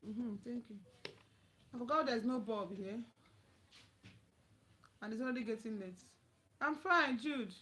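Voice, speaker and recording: The sort of voice heard on an evening phone livestream from someone's room: a Nigerian woman, fairly high, directly addressing viewers and repeating herself over faint noise. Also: unhurried, long pauses, bright, forceful tone. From Anambra State, Nigeria. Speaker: livestream host giving relationship advice. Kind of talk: casual live chat, greeting viewers. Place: dim room at home.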